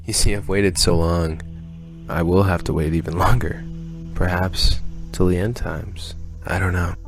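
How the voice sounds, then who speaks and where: An actor playing a gentle young greeter in heaven, calm and welcoming, in a radio drama. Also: male voice, low-pitched, measured pace, music in the background. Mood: wistful, resigned.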